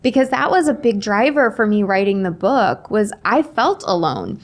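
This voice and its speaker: A woman in her forties with a medium-pitched voice talks as a podcast guest. She tells anecdotes casually and animatedly, voicing both sides of remembered conversations. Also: moderate pace. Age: 40s. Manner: animated, acts out quoted dialogue. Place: indoors, in-person podcast recording.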